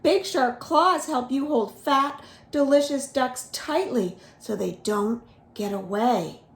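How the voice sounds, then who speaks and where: A woman's voice: sits high, slow pace, pitched above the performer's own voice. A woman voicing a greedy, scheming bad crocodile in a storybook, emphatic and coaxing as it relishes its hunger. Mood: gloating, hungry. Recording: roomy echo of a home room.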